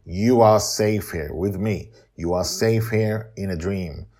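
A man voicing a forceful, blunt woman in a storybook, emphatic and insistent, with a husky voice. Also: low voice, slow pace. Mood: firm, urging.

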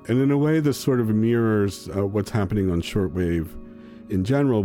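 A man gives casual interview answers in a low, mellow voice. A little music sits behind him. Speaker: interviewee, radio producer.

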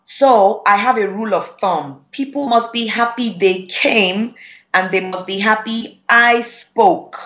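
A woman's voice: medium pitch, measured pace, lo-fi sound. Emphatic Nigerian woman training speakers. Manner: emphatic, lively teaching with repeated points. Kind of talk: masterclass teaching.

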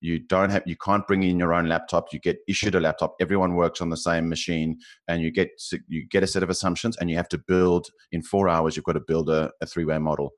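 South African man, very low voice, forties, guest in an interview, halting, lots of ums and restarts. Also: little pitch movement. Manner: thoughtful, hesitant with many fillers and restarts. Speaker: guest, finance professional. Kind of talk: podcast interview.

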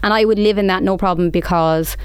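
A woman's voice: mid-range voice, fairly even intonation, fairly quick, clean tone, dry recording. A young woman co-hosting a podcast, chatting casually and laughing easily. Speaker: podcast co-host. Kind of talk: casual chat.

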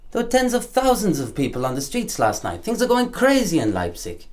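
A man's voice: sits high, huge pitch swings, brisk. An actor playing a young, pragmatic male activist in a radio drama, talking in an emphatic, persuasive way. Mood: reassuring, urgent. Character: young male Berlin activist, pragmatic, insistent, defensive under pressure.

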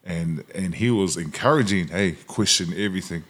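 A man from New Zealand talks in a casual podcast chat with a very low voice. He mostly chimes in with short remarks and the odd joke. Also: moderate pace, a bit bright.

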